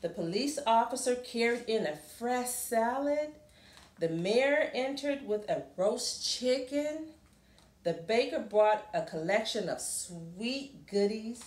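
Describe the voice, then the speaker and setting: A woman with a slightly high voice reads a children's storybook aloud. She takes her time, speaking very deliberately and expressively as if to young listeners. The sound is roomy and echoing. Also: expressive. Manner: deliberate, explains and asks listeners questions. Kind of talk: expressive picture-book read-aloud with asides to children.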